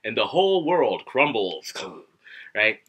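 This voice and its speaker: Lively lo-fi male video teacher. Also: mid-range voice, slow, slightly rough. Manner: animated, acts out students and himself, gestures a lot. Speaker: English teacher. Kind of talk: casual video lesson to camera. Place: living room at home, indoors. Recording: dull lo-fi webcam audio.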